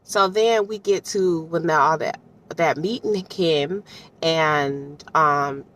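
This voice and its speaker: A low-pitched woman talks off the cuff as a casual book reviewer, with some noise behind her. She is animated and colloquial, repeating phrases for emphasis. She keeps checking in with whoever is listening. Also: takes it slowly. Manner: chatty, often checks in with the listener. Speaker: audiobook reviewer giving personal commentary. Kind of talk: casual, unscripted book commentary.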